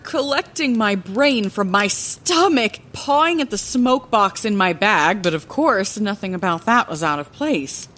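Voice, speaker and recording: An actress playing an older woman lighthouse keeper who reminisces in a playful, dramatic, conversational way, like bedtime fantasy storytelling in an audio drama. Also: mid-range voice. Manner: expressive, conversational, theatrical.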